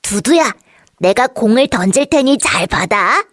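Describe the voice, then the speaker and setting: A woman voicing a cheerful, curious little boy in a children's storybook, in an excitable childlike manner. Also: on the high side, measured pace, no room sound, studio quality. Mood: playful. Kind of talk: children's storybook character acting.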